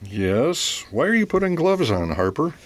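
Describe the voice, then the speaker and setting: A man's voice: on the high side, very animated, measured pace, dry recording. A man playing a cooperative, mildly puzzled radio station staffer in an audio drama, speaking in a plain, matter-of-fact way. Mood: helpful, puzzled. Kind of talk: audio theater mystery acting.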